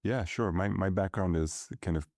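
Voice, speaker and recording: A middle-aged man, a podcast guest who grew up speaking Russian, talking thoughtfully with many pauses and fillers. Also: sits low, a little mellow, dry recording, studio quality. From grew up in many countries. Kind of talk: reflective conversational interview.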